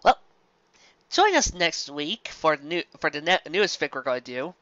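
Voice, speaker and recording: A man hosting a fan fiction series, narrating and commenting casually in an enthusiastic, halting, stumbling way. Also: fairly high pitch, sing-song, pushed and bright, no room sound, lo-fi sound.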